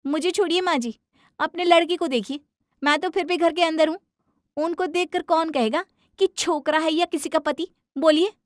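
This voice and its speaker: A woman voicing an indignant, sharp-tongued young wife who insists on her way in a domestic cartoon story. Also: extremely high pitch, steady tone, normal speed, dry recording, studio quality, pitched above the performer's own voice. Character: feisty, indignant young wife demanding equality.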